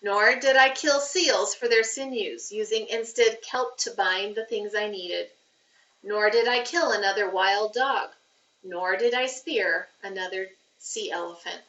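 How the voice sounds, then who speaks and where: Bright-voiced woman reading aloud in echoey room. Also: mid-range voice, a little slow. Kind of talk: read-aloud of a children's novel. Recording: roomy, muffled, distant microphone.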